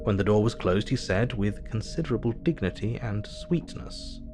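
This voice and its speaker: An actor playing a grave, analytical asylum doctor narrating his diary in an audio drama, measured, thoughtful and formal. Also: lowish voice, expressive, over music, natural voice. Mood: pensive.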